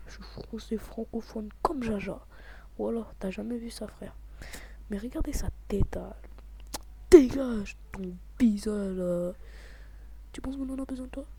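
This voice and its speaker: Hushed, excitable young French gamer guy. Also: sits high, creaky, noisy background. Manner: hushed, half-whispered delivery; excitable, slangy, repeats exclamations. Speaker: gaming commentator. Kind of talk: casual gameplay commentary.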